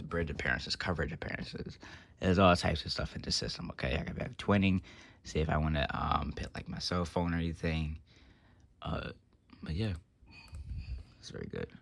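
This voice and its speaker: A man's voice: sits low, vocal fry, a little hiss or hum. A man giving an unscripted, casual walkthrough of a technical setup, rambling and often trailing off with filler phrases. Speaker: tech vlogger demonstrating an office phone system.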